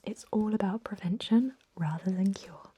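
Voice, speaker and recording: A woman playing a thousand-year-old vampire medic in an ASMR role-play, whispering softly in a gentle, courteous, reassuring way with a hesitant, explanatory manner. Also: medium pitch, normal speed, a little hiss or hum, natural voice. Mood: soothing, instructive. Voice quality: soft, breathy whisper. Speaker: emergency room clinician in a role-play.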